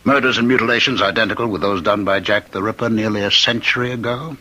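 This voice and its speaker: An actor in a radio drama playing a cultured, eerily courteous condemned murderer who was once a professor, speaking in deliberate, theatrical phrases. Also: male voice, lowish voice, fairly even intonation, sharp tone. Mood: sardonic, insistent.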